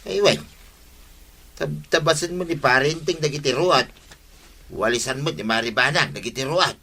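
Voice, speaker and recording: An eager Ilocano husband in a village radio comedy. His voice is fairly high and bright, that of a nosy neighbour keen to keep up. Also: moderate pace. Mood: observant. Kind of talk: comedic radio drama acting.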